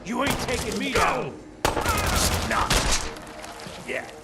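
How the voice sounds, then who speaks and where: A man's voice: very high-pitched, wildly swooping pitch, slow pace, recorded from a distance, loud music behind the voice, full character voice. An actor playing an arrogant old gangster turned ghoul in a video game, talking in a mocking wise-guy manner. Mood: defiant. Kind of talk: old-time mobster game dialogue.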